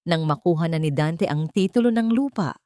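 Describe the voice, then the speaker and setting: A woman's voice: low voice, animated, normal speed, warm tone, no echo, clean studio sound. A woman voicing a grieving, polite young woman who seeks justice for her father in an audiobook drama, solemn and holding back emotion. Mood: pained.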